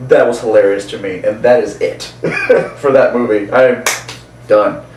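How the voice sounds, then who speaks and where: A man's voice: on the low side, fairly even intonation, measured pace, noisy background. A man co-hosting a casual movie chat in an informal, jokey way. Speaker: fill-in co-host. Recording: echoey room, distant mic, faint ambience.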